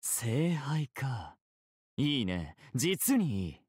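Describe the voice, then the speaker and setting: Game pirate captain: courtly, teasing, theatrical, highly animated delivery, dry close sound. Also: male voice, very slow, husky, no echo, heavily acted. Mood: smug, elegant. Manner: polite, theatrical, self-assured. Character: suave, elegant, teasing gentleman pirate captain. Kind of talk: Japanese game character voice acting.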